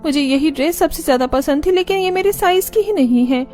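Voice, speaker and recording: A woman's voice: sits high, a little fast, a little mellow, lightly acted. A woman playing a pleading, childlike young wife in a scripted cartoon story, with a whiny manner. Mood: sad. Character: plaintive young overweight wife, childish and food-loving. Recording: music under the voice.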